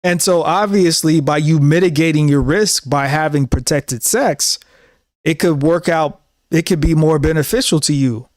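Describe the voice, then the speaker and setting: A man's voice: fairly high pitch, normal speed, no echo. A man in his thirties giving an earnest, preachy talk to camera as a show host, often repeating himself. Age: 30s. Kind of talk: casual monologue to camera.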